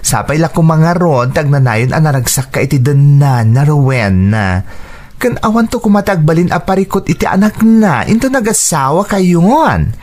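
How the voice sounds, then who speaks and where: A man's voice: a little high, big pitch swings, normal speed, a little mellow. A man playing a concerned, frank co-worker and friend in an Ilocano radio drama.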